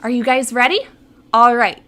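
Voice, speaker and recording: A woman's voice: fairly high pitch, moderate pace, a bit bright. A woman presenting a children's story segment, explaining things simply and step by step as a show-and-tell.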